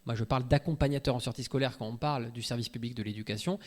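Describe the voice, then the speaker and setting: A man's voice: mid-range voice, rapid-fire. A man giving an informal talk as a guest legal expert, explaining and arguing his view with frequent asides and self-interruptions. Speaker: guest speaker, law researcher. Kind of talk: informal talk answering audience questions.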